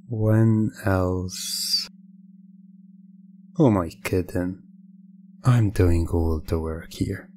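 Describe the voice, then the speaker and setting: A man performing hypnosis ASMR in a soft, breathy voice, deliberate and teasing, with commanding phrases and many pauses. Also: lowish voice. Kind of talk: hypnosis ASMR, slow scripted patter.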